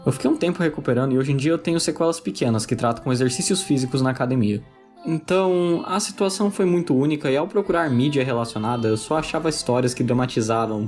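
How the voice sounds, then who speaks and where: A man's voice: a little high, a little mellow. A Brazilian man narrating a scripted video essay.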